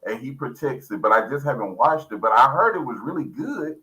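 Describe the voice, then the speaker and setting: Man chatting with very lively pitch. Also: a little fast, a crowd in the background, low-quality recording. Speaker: guest co-host. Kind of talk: casual chat.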